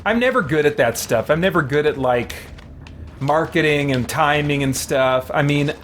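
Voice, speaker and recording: A man's voice: on the high side, normal speed, background noise. A man chatting casually with viewers as he plays a game on a livestream, rambling in a playful, self-deprecating way. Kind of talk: casual gameplay chat with viewers. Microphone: streaming setup, headphones on. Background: game music.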